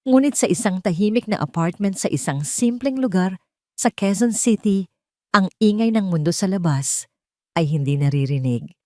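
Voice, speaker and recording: A woman with a Filipino accent reading a scripted dramatic story in a measured, solemn manner. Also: medium pitch, expressive, dark tone, no room sound, studio quality. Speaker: story narrator.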